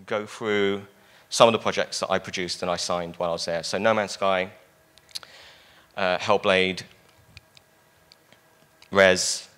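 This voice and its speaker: Loud, low-pitched man, casual conference speaker on a handheld mic, jokes with the crowd. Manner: casual, joking with the audience. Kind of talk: conference talk. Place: conference hall stage.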